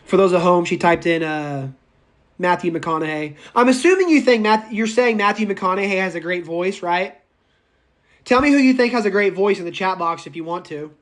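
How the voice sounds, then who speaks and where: Raspy guy teaching an online class, kinda shouting so the recorder hears him, keeps going off topic and laughing. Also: high-pitched, animated, normal speed. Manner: speaks loudly, projecting as if shouting; enthusiastic, goes off on tangents and laughs. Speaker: college public speaking instructor. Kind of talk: live online class lecture, chatty and digressive. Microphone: no microphone, voice caught by the room recorder.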